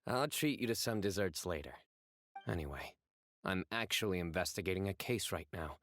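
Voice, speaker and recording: An actor playing a composed young investigator in a video game, deadpan and formal, dryly proud of his puns. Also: low voice, no room sound, studio quality. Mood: serious. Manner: deadpan, measured, formal phrasing.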